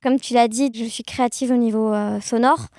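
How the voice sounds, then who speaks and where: A woman talks casually as an interview guest in a very high voice. She sounds cheerful and smiling, and the recording is close and dry. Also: steady tone, normal speed, a little mellow, no echo. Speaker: podcast guest.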